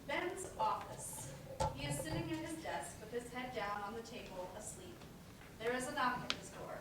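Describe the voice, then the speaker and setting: Female stage-direction narrator, scripted read, heavy room echo and loud background noise. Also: on the high side, lively intonation, moderate pace. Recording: very echoey room, distant mic, loud noise.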